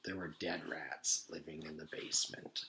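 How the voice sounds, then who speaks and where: A man narrating a children's storybook as a teacher reading aloud, with expressive, deliberate storytelling. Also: rumbling low, vocal fry, a little hiss or hum. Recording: echoey room.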